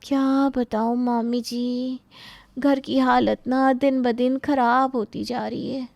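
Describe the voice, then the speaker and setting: Lady doing a gossipy young bahu, high voice, whiny drawn-out complaints, fake innocent, story video. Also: flat delivery, creaky, darkish tone, no room sound. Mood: gossipy, aggrieved. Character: gossipy scheming young daughter-in-law, feigning innocence.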